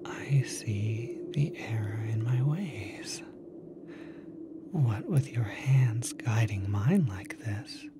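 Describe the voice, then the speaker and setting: A man voicing a charming, unsettling kelpie in an ASMR roleplay, soft and often whispering, coaxing and flattering with teasing false modesty, close to the microphone. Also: lowish voice, background noise. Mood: feigned embarrassment, flirtatious. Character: charming, unsettling male kelpie, smooth-talking seductive lurer. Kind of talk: ASMR roleplay, intimate one-sided acted dialogue.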